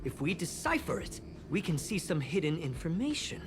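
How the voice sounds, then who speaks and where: A woman voicing an excitable, anxious young space station researcher in a game, expressive and full of sighs and exclamations. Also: low-pitched, normal speed, music in the background. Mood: intrigued, worried. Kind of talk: game dialogue acting.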